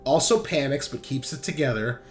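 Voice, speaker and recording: A man running a tabletop roleplaying game as game master, narrating casually, with loose swearing and excitable reactions. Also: mid-range voice, fairly quick. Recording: background music under the voice. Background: music.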